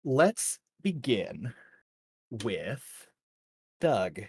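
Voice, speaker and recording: A man's voice: mid-range voice, sing-song, very slow, breathy edge, dry recording, studio quality. A man narrating a tabletop fantasy game as dungeon master, informal with his players.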